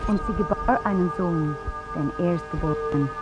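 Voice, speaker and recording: An actress playing a solemn narrator telling an old tale in a radio drama. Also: female voice, on the low side, normal speed, lo-fi sound, loud music behind the voice, natural voice.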